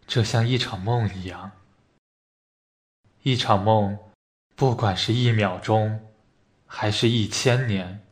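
A man acting a dying hospital patient in a radio play, calm, resigned and reflective as he speaks quietly. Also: on the low side. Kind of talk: amateur radio drama reading of a stage play.

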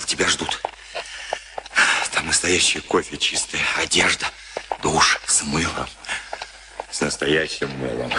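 An actor playing a gruff prison camp orderly, curt and urgent, servile to his officer, in a radio play. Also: very deep voice. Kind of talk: Soviet radio play acting. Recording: noisy archive radio recording.

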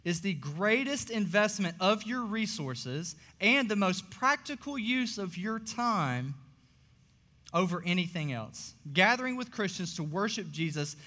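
A young man, a pastor, preaching a sermon in a church auditorium, his voice slightly hoarse, earnest and full of "uh" fillers. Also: sits high, very animated, a little slow, bright, forceful tone. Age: young adult. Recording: long reverb of a large hall.

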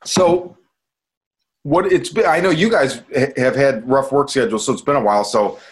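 A man with an average-pitched voice hosts a casual podcast. He talks conversationally, as in an informal chat among co-hosts. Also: normal speed, breathy edge. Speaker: podcast host.

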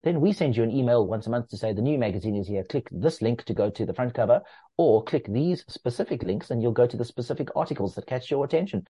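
A man who edits a running magazine, talking as a guest in a relaxed, explanatory way with plenty of fillers. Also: fast talker, husky, no room sound. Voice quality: bass voice. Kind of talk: casual interview talk.

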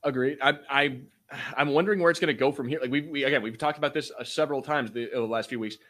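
A man with a mid-pitched voice chats as a podcast co-host. He is informal and a bit halting, loose with swearing, and sounds like he is talking off the cuff. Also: fairly bright tone. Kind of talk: casual chat, reads out viewer comments.

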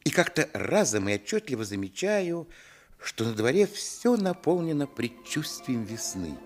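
A man acting as the reflective narrator of a radio play, recalling a village boyhood in lyrical, measured storytelling. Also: mid-range voice, very animated. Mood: lyrical, wistful. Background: music.

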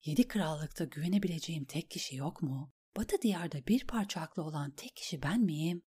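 A haughty queen , voiced by a woman reader, who gives orders briskly and cuts others down with dry scorn. The voice sits a touch lower than the narration and stays firm and controlled. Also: animated, dark tone, slightly rough, full character voice. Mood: scornful. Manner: imperious, cutting. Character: cold, commanding queen, scornful and sharp.

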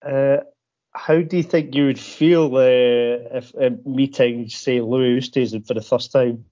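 A man's voice: mid-range voice, steady tone, measured pace, mellow. A man interviewing a golfer, asking long, rambling questions with frequent stammers and restarts. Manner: rambling, stammering, self-deprecating. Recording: dull, lo-fi sound.

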